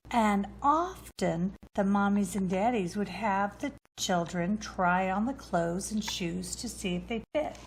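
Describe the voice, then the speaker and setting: A woman reads a children's storybook aloud at a slow, deliberate pace. Her pitch sits in the middle range for a woman, and there is some background noise behind her. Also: low-quality recording. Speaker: storybook reader.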